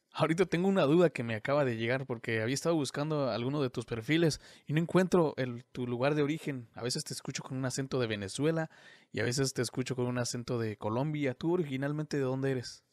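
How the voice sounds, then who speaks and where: A man's voice: mid-range voice, quick pace, no room sound, studio quality. A man hosting a podcast, asking curious, conversational questions in a casual interview. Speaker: podcast host.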